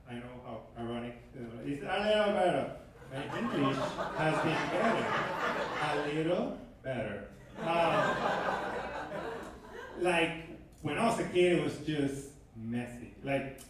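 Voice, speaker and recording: A man in his thirties from Venezuela with a Venezuelan Spanish accent, doing an animated stand-up comedy set on a club stage with a handheld mic. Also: on the high side. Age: 30s. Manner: animated, shouting punchlines. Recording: echoey club from far off, noisy.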